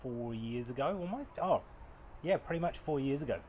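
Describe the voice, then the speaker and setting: A New Zealand man in his forties answers interview questions in a loose, self-deprecating way, full of ums and restarts. His voice sits at a middle pitch. He is on an outdoor veranda with a lapel mic, with a faint hum of outdoor noise behind him. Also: moderate pace. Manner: relaxed, self-deprecating, many fillers. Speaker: acupuncturist and researcher.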